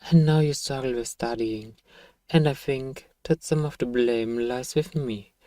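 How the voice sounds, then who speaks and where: A man playing an evil emperor who is a soft-spoken, paternal and self-righteous father, speaking gently and formally in an ASMR bedtime role-play. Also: medium pitch, dry recording, clean studio sound, close to an everyday voice. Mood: soothing, encouraging. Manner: gentle, measured, formal, persuasive.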